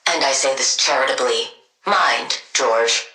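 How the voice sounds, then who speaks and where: Low-voiced haughty supervillain scientist. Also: female voice, measured pace, roomy sound, full character voice. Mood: irritated. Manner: deadpan, sardonic, precise scientific wording. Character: brilliant, haughty female scientist supervillain, dry and impatient. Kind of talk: video game voice acting.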